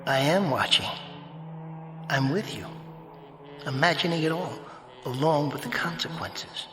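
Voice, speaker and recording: An actor playing a calm, sardonic, otherworldly judge in a radio drama, speaking in measured, formal, mocking phrases through heavy reverb. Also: male voice, a little high. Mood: knowing, warning. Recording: long echoing reverb, music behind.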